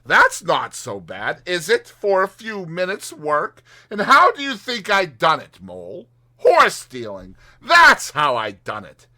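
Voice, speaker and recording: A man voicing a puffed-up, boastful toad gentleman in a storybook, with swaggering, theatrical emphasis. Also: sits high, wildly swooping pitch, measured pace, voice pushed up, heavily acted. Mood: triumphant.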